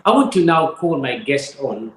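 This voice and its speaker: A man hosting an online reading circle, speaking in a measured, oratorical way with religious greetings. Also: medium pitch, sing-song. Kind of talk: formal spoken introduction and interview. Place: indoors with a view of the harbor. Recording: roomy echo with faint background hiss.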